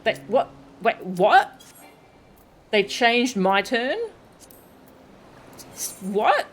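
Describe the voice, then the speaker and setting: Slow-paced woman streaming a game. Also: fairly high pitch, big pitch swings. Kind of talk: casual live game commentary, reacting. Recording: game music behind the voice. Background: game music and character voice lines.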